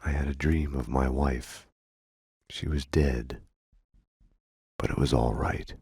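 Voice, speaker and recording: An actor playing a grim, world-weary detective, narrating in a slow, poetic, brooding noir style for a video game. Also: male voice, rumbling low, steady tone, warm tone, husky, no echo, clean studio sound, heavily acted. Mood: brooding, somber.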